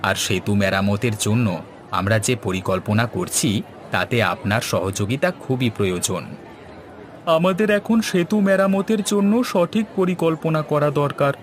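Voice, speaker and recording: A man's voice: mid-range voice, expressive, normal speed, slight background noise, close to an everyday voice. A man playing an earnest village leader in a cartoon, speaking in formal, rallying speeches. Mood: urging. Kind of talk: scripted cartoon dialogue.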